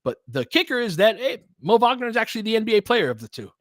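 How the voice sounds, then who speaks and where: A man's voice: sits high, very animated, fast, dry recording. Conversational man calling basketball, dry close sound. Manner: conversational, thinking aloud, analytical. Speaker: play-by-play commentator and host. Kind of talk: live basketball commentary with casual asides.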